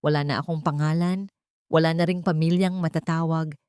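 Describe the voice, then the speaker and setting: A woman's voice: low-pitched, fairly even intonation, fairly quick, darkish tone, smooth, dry recording, clean studio sound, natural voice. A woman playing a wounded, betrayed mother in an audio drama, grieving and determined to start over. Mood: grieving, resolute. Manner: weak-voiced, pained but determined. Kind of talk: melodramatic audio drama dialogue.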